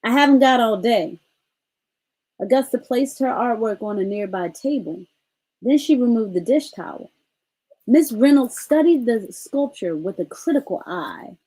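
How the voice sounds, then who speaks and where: A woman reading a bedtime story aloud to a child, pausing to explain and ask questions. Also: a little high, expressive, warm tone, slightly rough, low-quality recording.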